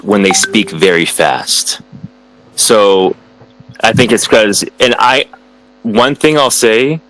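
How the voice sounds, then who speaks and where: American man, guest on a video call, low-pitched, filler-heavy, halting, dry close sound. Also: a bit bright, dry recording, rough recording. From United States. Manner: hesitant, many fillers and restarts. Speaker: guest speaker answering students. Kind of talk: casual online conversation.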